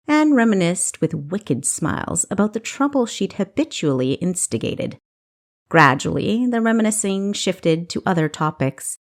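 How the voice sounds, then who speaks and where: A woman narrating a novel as an audiobook, reading expressively from a script. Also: fairly low pitch.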